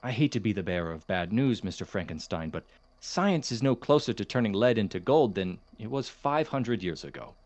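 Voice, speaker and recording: An actor playing a courteous, dismissive chemistry professor in an audio drama, speaking in a formal, measured, gently condescending manner. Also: male voice, fairly low pitch, expressive, close to an everyday voice. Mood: dismissive, patronizing. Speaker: substitute lecturer. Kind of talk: audio drama, lecture and office talk.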